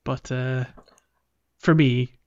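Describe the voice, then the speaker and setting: Male podcast co-host, medium pitch, relaxed conversational delivery for casual gaming chat, slightly muffled lo-fi recording. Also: very slow.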